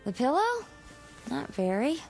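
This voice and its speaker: An actress playing a teasing, patient young New York wife, in radio drama style with wry, playful banter. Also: female voice, a little high, expressive, unhurried, a little mellow, faint music behind, low-quality recording.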